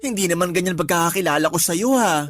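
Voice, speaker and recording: An actress playing a sharp-tongued, loyal female cousin in a radio drama, sassy, indignant and emphatic. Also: on the low side, expressive, quick pace, close to an everyday voice. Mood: protective, fiery. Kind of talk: Tagalog radio drama acting. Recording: music under the voice.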